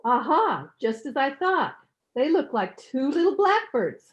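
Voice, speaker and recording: Woman acting a high-pitched, haughty nosy mother-in-law. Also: big pitch swings, unhurried. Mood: huffy, disapproving. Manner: sing-song, fussy, condescending. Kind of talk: old-time radio sitcom acting. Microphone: home microphone over video call. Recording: dull, lo-fi internet call recording.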